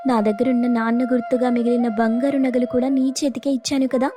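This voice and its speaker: Woman acting a pleading, high-voiced young sister. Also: level tone, a little fast, music in the background, lightly acted. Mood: desperate pleading. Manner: pleading, self-reproaching. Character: remorseful, desperate young city-bred younger sister. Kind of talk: dramatised moral story acting.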